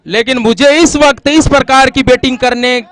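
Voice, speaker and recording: ऊँची, साफ़ आवाज़ वाला क्रिकेट कमेंटेटर. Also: male voice, extremely high pitch, fairly even intonation, normal speed, a bit bright, dry recording. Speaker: cricket commentator. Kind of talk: live match commentary in casual banter.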